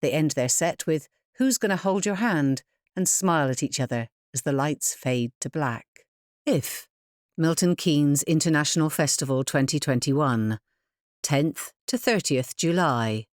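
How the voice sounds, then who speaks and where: A woman giving scripted audio description in a measured, clearly enunciated reading manner. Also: deep voice, animated, darkish tone, no echo, studio quality.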